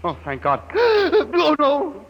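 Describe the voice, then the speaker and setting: A man's voice: extremely high pitch, huge pitch swings, takes it slowly, noisy background, exaggerated voice. A stammering, terrified trapped man. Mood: frightened. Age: 40s. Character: panicked trapped middle-aged man. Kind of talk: 1950s radio drama acting. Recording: old archival radio broadcast.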